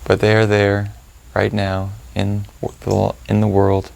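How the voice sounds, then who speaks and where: A man leading a guided meditation, giving gentle, informal instructions with unhurried pauses. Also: on the low side, completely flat delivery, vocal fry.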